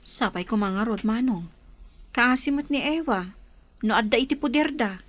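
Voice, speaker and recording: A woman's voice: a little high, animated, normal speed, a bit bright. A woman playing a concerned, questioning younger sister in a radio drama. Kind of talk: Ilocano radio drama acting.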